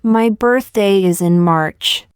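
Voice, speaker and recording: Slow, clear woman reading practice sentences. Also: mid-range voice, no echo, clean studio sound. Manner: slow, careful, each sentence said twice. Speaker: English teacher.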